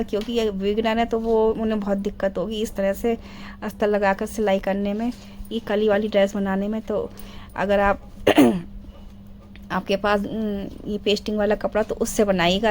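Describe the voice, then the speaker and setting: A middle-aged woman with a hoarse, sore throat talks through a sewing tutorial step by step, often stopping to clear her throat. She sits at a middling pitch and repeats each instruction carefully. A faint hiss runs under the voice-over. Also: darkish tone. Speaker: sewing channel host.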